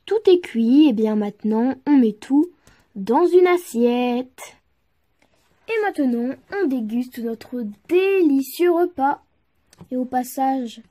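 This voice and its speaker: A woman presenting a homemade news show and narrating step by step, with a hesitant, halting delivery. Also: sits high, mellow.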